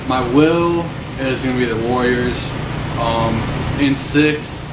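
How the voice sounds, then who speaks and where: A man's voice: mid-range voice, takes it slowly. A man hosting a casual sports chat, talking in slang with frequent swearing. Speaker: podcast host. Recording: roomy, distant mic, noisy hum.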